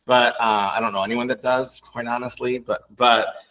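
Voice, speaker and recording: A man's voice: mid-range voice, steady tone, moderate pace, a bit bright, a little hiss or hum. A man in his fifties hosting a podcast, rambling and effusive, often asking if you know what he means. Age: 50s. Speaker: podcast host. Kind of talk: casual interview chat.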